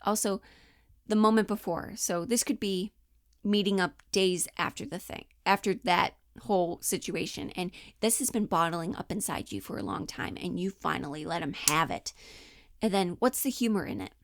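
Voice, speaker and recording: A woman in her twenties giving casual, informal acting instruction in an animated manner. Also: lowish voice, moderate pace, no room sound, clean studio sound. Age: 20s. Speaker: acting coach.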